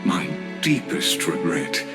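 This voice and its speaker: A man's voice: slow, over loud music, natural voice. A man voicing a courtly, inscrutable otherworldly envoy in a fantasy video game, with ceremonious and unctuously polite phrasing. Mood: courtly, measured.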